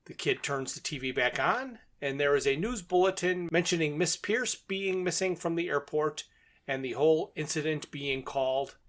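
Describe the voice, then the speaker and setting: A man's voice: on the high side, normal speed, fairly bright tone. A man co-hosting a podcast, recapping a story in a casual, jokey, conversational way with short sentences. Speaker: podcast co-host.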